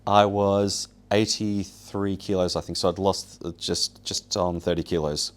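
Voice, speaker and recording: A man with a very low voice, whose first language is Polish, speaks as a guest telling his own story to an audience. He is informal and wry, happy to poke fun at himself as he goes. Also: fairly even intonation, measured pace. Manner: casual, self-deprecating, wry. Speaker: guest speaker, survival show winner.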